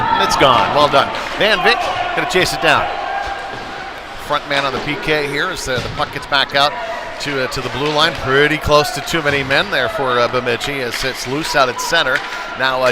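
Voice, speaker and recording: Male American sports commentator, mid-pitched voice, live hockey call, conversational, recorded amid heavy arena noise. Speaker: play-by-play commentator. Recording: loud rink noise behind the voice. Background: noisy indoor ice rink with bangs.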